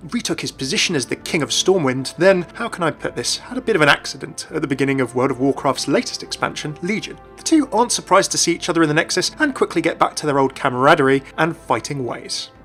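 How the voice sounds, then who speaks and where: Male gaming-video narrator, rapid and chatty, wry asides, light music bed. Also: a little high, lively intonation, a bit bright. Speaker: video narrator and game commentator. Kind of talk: scripted explainer narration. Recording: voice-over with light background music. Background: background music.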